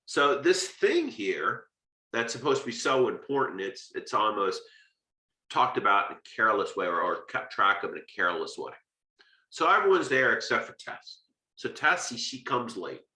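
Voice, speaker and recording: A man's voice: mid-range voice, sing-song, moderate pace, bright, forceful tone. A man giving an informal, conversational literature lecture, explaining a story step by step and often checking in with "right?". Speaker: literature teacher. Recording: roomy, echoing sound.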